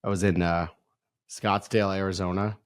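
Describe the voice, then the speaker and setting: A young man co-hosts a casual sports podcast with a hoarse, raspy voice. He speaks in a relaxed, self-mocking way. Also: low voice, moderate pace. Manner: laid-back, self-deprecating. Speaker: podcast co-host.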